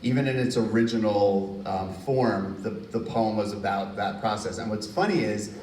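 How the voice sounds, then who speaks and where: A man from New Jersey, an author at a university reading, telling lively anecdotes and acting out quotes with bursts of laughter. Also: lowish voice, moderate pace, a little hiss or hum. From Hudson County, New Jersey. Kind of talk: casual storytelling in a Q&A. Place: university reading event hall. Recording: roomy, distant, faint ambience.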